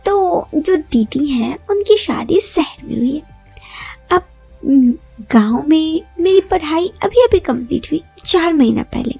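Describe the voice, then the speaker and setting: A woman's voice: sits high, lively intonation, a little slow, creaky, dark tone, soft background music. A young woman narrating a first-person story in a chatty, confiding way, often addressing listeners with rhetorical asides.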